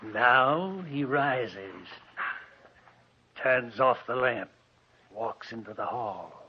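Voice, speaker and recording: An actor playing a spooky, gloating old hermit who narrates murder in theatrical 1940s radio horror style. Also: male voice, mid-range voice, expressive. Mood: ominous. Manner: theatrical, ominous, slow and deliberate. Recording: dull noisy old radio recording.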